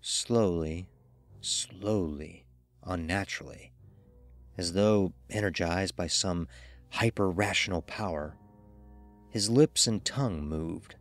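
Slow, gravelly male horror narrator. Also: low-pitched. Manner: deliberate, measured storytelling. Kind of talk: dramatic first-person horror narration.

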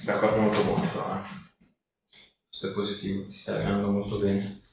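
A man's voice: lowish voice, monotone, a little fast, vocal fry. A man working as a chiropractor, giving short, reassuring instructions and questions to a patient during a hands-on exam. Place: indoor treatment room. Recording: very echoey room, distant mic, faint noise.

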